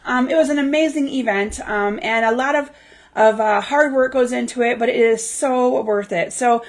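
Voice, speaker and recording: A woman hosting a crafting livestream in chatty, casual talk full of ums, her voice slightly raspy from a lingering cough. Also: fairly high pitch, moderate pace. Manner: chatty, lots of ums and restarts. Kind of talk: casual live-stream chat. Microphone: livestream mic.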